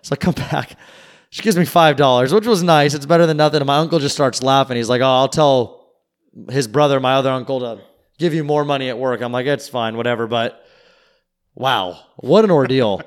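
A man's voice: medium pitch, a little fast. A man casually telling a long, rambling story on a podcast and swearing freely. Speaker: podcast co-host. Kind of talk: casual chat.

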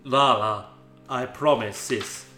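A man doing a grave, slow heroic wizard. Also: mid-range voice, pushed and bright, pitched below the performer's own voice. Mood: grave, consoling. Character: solemn, heroic, protective male wizard. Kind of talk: mock-dramatic storybook acting. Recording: roomy echo with music behind.

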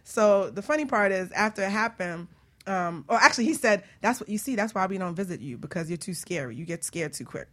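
Woman host just chatting, telling her own stories, informal n animated, bit of teasing, medium pitch. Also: a little fast. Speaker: talk show host. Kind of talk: casual personal storytelling and chat.

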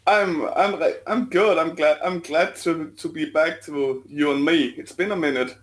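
Danish-accented male co-host, slightly higher voice, stumbling and playful. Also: unhurried, fairly bright tone. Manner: stumbling, repeats words, playful. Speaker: podcast co-host. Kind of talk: casual podcast chat.

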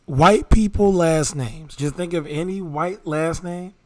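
Higher-pitched man, laid-back banter. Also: unhurried, a little mellow. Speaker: podcast co-host. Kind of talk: casual banter.

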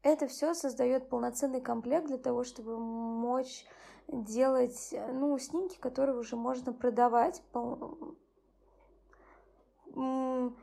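A tired young woman hosting her own channel, speaking softly and subdued as she reads a bedtime story and chats about her day. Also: fairly even intonation, slow, creaky. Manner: soft, subdued, low-key. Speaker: channel host. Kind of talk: bedtime story reading and personal chat.

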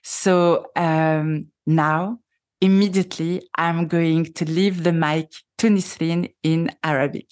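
A woman with a French accent, a native French speaker hosting an interview podcast in English, friendly and hesitant with many pauses to rephrase. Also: low voice, steady tone, darkish tone. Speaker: podcast host. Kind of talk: interview conversation.

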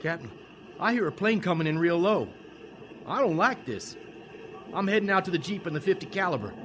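An actor plays a young American infantryman in a war radio drama. His voice is high and swings widely in pitch. He stays alert and wary, over faint noise and battlefield ambience. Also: expressive, fairly quick, fairly bright tone. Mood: tense.

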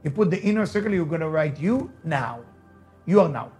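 Middle-aged Israeli man, native Hebrew speaker, slightly high voice, punchy motivational speaker over soft music. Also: lively intonation, fairly quick. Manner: emphatic, repetitive, urging. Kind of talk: motivational talk.

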